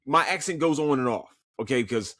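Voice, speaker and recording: A man from Philadelphia, his local accent coming through, rambles through a casual solo podcast review. His voice sits at an ordinary pitch, and he swears freely and repeats words as he restarts thoughts. The sound is dry, with no room echo. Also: lively intonation, fairly quick, a bit bright. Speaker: podcast host.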